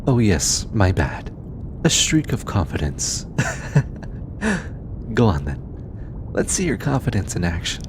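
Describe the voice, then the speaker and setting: A man playing a gentle, studious alien scientist in an intimate audio roleplay, soft-spoken and patient, hesitant when flustered. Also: deep voice, very animated, normal speed, noisy background. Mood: teasing, encouraging. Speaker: voice actor. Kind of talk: intimate scripted audio roleplay, addressing the listener.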